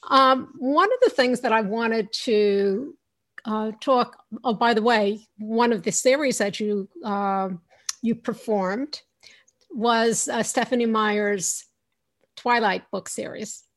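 A woman hosting an interview, asking questions haltingly with many fillers and restarts. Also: on the high side, fairly bright tone. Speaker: interview host.

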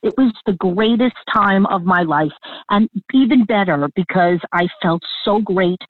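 A woman with a thick Long Island accent, telling stories as an interview guest, lively and laughing, over a tinny phone line. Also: mid-range voice, no echo. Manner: lively, laughing, talks in quick bursts with restarts. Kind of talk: animated storytelling interview.

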